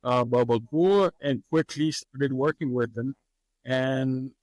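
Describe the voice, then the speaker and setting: A man, a master distiller answering interview questions in a relaxed, hesitant way with many fillers and easy laughs. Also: mid-range voice, takes it slowly, no room sound, rough recording. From Haiti.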